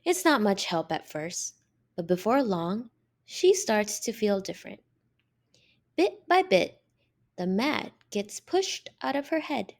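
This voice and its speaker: A woman reading a children's picture book aloud in an expressive, deliberate manner with drawn-out emphasis on key words. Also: medium pitch. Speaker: storybook reader.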